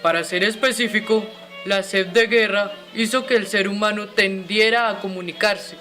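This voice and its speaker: A man plays a courteous inventor in an educational radio drama. The voice is high for a man, with a polite, expository delivery. Faint music sits underneath. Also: steady tone, normal speed, bright, forceful tone. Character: courteous male inventor of radio explaining his work.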